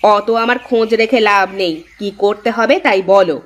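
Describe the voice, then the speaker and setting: A woman voicing a sharp-tongued, resentful young village wife in a cartoon, scornful and complaining. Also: mid-range voice, steady tone, moderate pace, close to an everyday voice. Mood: scornful, grudging. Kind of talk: cartoon voice acting.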